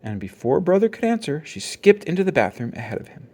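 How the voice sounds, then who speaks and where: Animated man reading a children's story. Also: a little high, fast talker. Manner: expressive storytelling. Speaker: storybook reader. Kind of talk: children's read-aloud narration.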